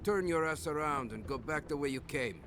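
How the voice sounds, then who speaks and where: A man's voice: a little high, lively intonation, normal speed, noisy background, lightly acted. A man voicing a pompous, pedantic, unwelcoming gatekeeper who quotes rules in a video game. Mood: officious, brusque. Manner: pompous, rule-quoting. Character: officious male gatekeeper, pedantic, gruffly unwelcoming. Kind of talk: video game cutscene acting.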